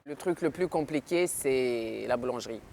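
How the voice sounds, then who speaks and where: A woman with a Ukrainian accent speaking in a documentary interview, animated and mocking, laughing easily. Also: a bass voice, moderate pace, creaky, a little hiss or hum.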